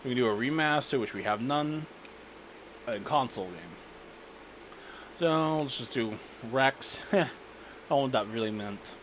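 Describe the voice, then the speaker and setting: A man's voice: mid-range voice, lively intonation, a little slow, long pauses, a bit bright, rough recording. Casual, sweary man over background noise. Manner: sweary, irreverent. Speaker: gaming video creator narrating gameplay. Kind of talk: casual rambling game commentary with storytelling.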